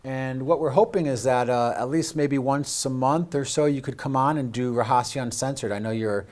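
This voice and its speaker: American male host, medium pitch, casual interview style, rambling and hesitant phrasing. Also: normal speed, darkish tone, slight background noise. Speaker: show host. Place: live studio.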